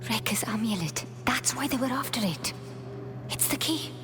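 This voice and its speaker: An actress playing a serious, cautious heroine with a dry, earnest manner in a video game cutscene. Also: female voice, on the high side, expressive, normal speed, lightly acted. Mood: grave wonder. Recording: music under the dialogue.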